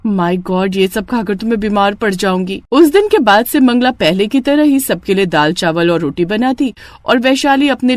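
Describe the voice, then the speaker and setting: A woman narrating a cartoon story and voicing the young wife, in an expressive storytelling manner. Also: medium pitch, no echo. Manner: lively, expressive.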